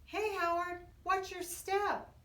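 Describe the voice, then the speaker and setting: A woman's voice: extremely high pitch, level tone, slow, sharp tone, pitched above the performer's own voice, heavily acted. A woman reading a storybook, voicing insistent grown-ups and schoolmates who call out emphatic warnings and scoldings. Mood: urgent warning. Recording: echoey room, distant from mic.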